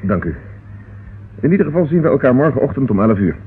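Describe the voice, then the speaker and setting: A man playing a calm, courteous, methodical police inspector in a radio play, measured and probing. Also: medium pitch, breathy edge. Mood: businesslike. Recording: noisy, tinny old radio recording.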